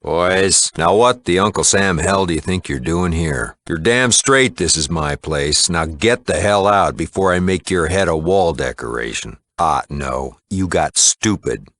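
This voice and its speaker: An actor playing a gruff, plain-spoken small-town mayor and shopkeeper in a video game, a tough but fair lawman with a gravelly voice and folksy, firm manner. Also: low voice, expressive, unhurried, few pauses, a bit bright, dry recording. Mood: stern, threatening. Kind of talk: video game character dialogue.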